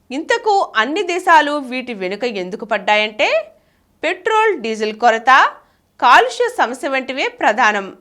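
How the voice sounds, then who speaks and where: Female narrator for scripted explainer. High register, highly varied melody. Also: fairly bright tone. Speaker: narrator reading an article for a channel.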